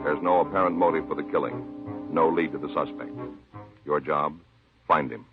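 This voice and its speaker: A man's voice: extremely low pitch, moderate pace. A man delivering a solemn, dramatic scripted introduction in an old-time radio style. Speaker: announcer. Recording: muffled old radio recording. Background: music.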